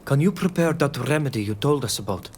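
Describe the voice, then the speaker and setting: A man's voice: mid-range voice, lively intonation, fast, a little hiss or hum, lightly acted. A man playing a resolute, curious young islander villager in a video game, speaking plainly and earnestly. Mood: serious. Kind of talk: video game dialogue acting. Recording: music playing under the voice.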